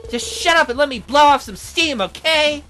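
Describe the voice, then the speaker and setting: Man putting on a high, teasing young woman. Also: animated, moderate pace, pushed and bright, soft background music, heavily acted. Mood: unhinged, commanding.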